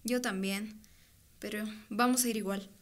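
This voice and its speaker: A woman's voice: medium pitch, steady tone, unhurried, close to an everyday voice. A woman acting a loyal, firm teenage girl who urges and encourages, in a radio drama. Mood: urging. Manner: insistent, encouraging.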